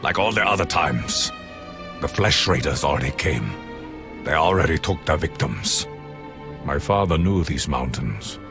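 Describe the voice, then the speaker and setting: A man's voice: a bass voice, lively intonation, measured pace, over music, full character voice. An actor playing a grieving, bitter villager in a video game, grave and reproachful as he pleads for help. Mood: bitter grief. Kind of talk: video game dialogue acting.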